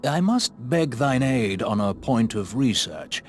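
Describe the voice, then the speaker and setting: An actor playing a courteous, bookish scholar in a video game, speaking formal archaic lines in a measured, reverent way. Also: male voice, medium pitch, expressive, close to an everyday voice. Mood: polite, earnest.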